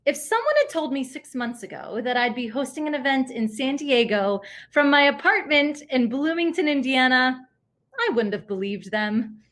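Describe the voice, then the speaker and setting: A woman with a fairly high voice hosts an online event. She delivers prepared remarks to viewers from her apartment. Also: lively intonation, moderate pace, a bit bright. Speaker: event host. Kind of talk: scripted hosting to camera. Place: at home in an apartment.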